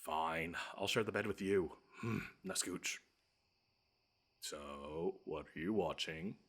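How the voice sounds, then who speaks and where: A man voicing a grumpy, pompous monster from under the bed in an audio roleplay, wordy and dryly sarcastic, with drawn-out menace. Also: sits low, fairly bright tone, slightly rough, heavily acted. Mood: grudging. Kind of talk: scripted audio roleplay monologue.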